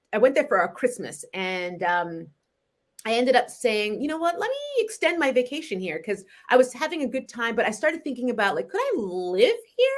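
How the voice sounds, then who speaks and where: A woman in her forties, a novelist from Canada, talking about her books in a relaxed interview, animated and often laughing. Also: fairly high pitch. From Montreal, Canada. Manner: chatty and animated, laughs often, frequent restarts. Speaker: novelist guest.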